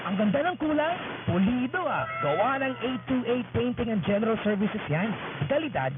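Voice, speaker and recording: Male ad announcer, extremely high pitch, scripted, tinny radio, loud music. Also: very animated, normal speed. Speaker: commercial announcer.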